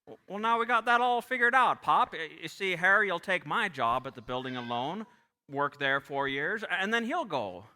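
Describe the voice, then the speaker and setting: A man plays an earnest, restless young small-town dreamer in a vintage radio drama. His voice is high and very bright, with swooping, stammering eagerness. It rings through a hall in a live stage taping. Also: lively intonation, normal speed, full character voice. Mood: eager. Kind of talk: old-time radio play acting on stage. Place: theatre stage.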